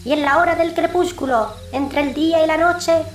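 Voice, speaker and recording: A woman's voice: sits high, moderate pace, lightly acted. A woman voicing a giant owl messenger, solemn and deferential, with formal, ceremonious speech in an audiobook. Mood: solemn, explanatory. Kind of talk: audiobook character acting. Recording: roomy echo, noisy background.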